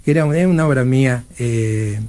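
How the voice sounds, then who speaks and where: An older Argentine man with a Buenos Aires accent hosts a relaxed radio conversation. His voice sits at an ordinary male pitch. He talks in a rambling way, often repeating words and changing course mid-sentence. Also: brisk. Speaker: radio host. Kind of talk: casual conversational interview.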